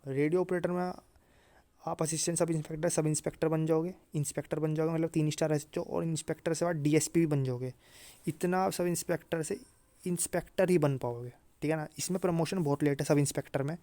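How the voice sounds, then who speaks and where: A man's voice: on the high side, steady tone, fast, dark tone, no echo. A man presenting an informal career explainer for students, often repeating points for emphasis and tagging them with short check-in phrases. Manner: repetitive check-in tags, emphatic repetition. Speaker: exam-career video presenter.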